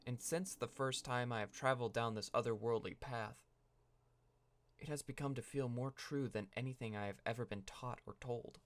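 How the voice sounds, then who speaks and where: A man playing a young podcaster narrating a dreamy, brooding story reflectively, with deliberate dramatic pauses. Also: mid-range voice, steady tone, no room sound, close to an everyday voice. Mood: entranced. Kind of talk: scripted first-person narration.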